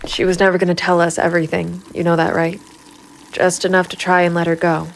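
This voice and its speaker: A woman playing a hard-bitten, brooding female private investigator in a noir audio drama, with grim, measured delivery. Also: fairly low pitch, level tone, creaky, slight background noise, low-quality recording. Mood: firm. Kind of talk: noir first-person narration and audio drama dialogue. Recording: music behind the voice. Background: music.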